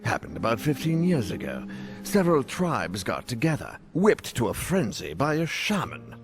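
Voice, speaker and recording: A man voicing a formal noble lord of a frontier town in a video game, with a measured, probing manner. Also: mid-range voice, wildly swooping pitch, music in the background. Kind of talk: video game cutscene acting.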